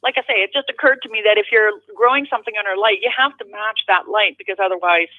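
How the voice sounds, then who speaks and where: A woman's voice: mid-range voice, quick pace. Tinny phone voice of a chatty woman. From Canada, born in the Netherlands. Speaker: phone-in caller. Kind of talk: casual chat. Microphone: telephone. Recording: narrow tinny phone line.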